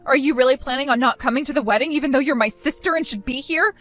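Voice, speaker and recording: A woman's voice: high voice, fast, a bit bright, soft background music. A woman playing a self-centered young bride who whines and pleads with her sister, in a scripted drama. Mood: whiny.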